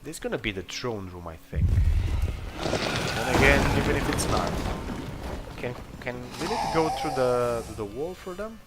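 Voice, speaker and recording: A man's voice: mid-range voice, animated, moderate pace, heavy background noise. Man rambling through casual game commentary. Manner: rambling, hesitant, thinking aloud. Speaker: video game player commentator. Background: game music.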